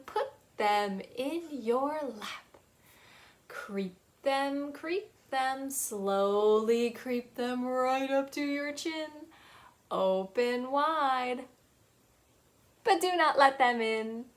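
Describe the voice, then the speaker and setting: Woman, 30s, children's storytime host; high voice, slow sing-song delivery, webcam in a small playroom. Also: expressive. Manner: sing-song, teacherly, lots of hand gestures. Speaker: children's librarian. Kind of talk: children's storytime with songs and signing. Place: small playroom with shelves, indoors.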